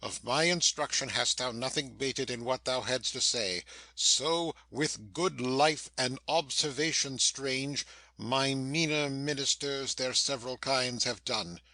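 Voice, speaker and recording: A commanding sorcerer played by a man savours his power in slow, declamatory lines. The voice is forceful and crisp-edged, recorded dry and close, with the stately manner of a classic audiobook drama. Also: medium pitch, dry recording. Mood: proud, satisfied. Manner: measured, declamatory, self-satisfied. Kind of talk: theatrical Shakespeare audiobook reading.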